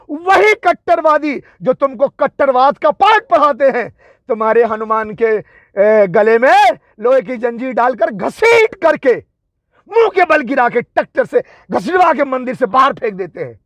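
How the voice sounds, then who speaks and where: A man's voice: extremely high pitch, very animated, measured pace, a bit bright, dry recording. A man delivering an angry, confrontational political and religious tirade, taunting with rhetorical questions and emphatic repetition.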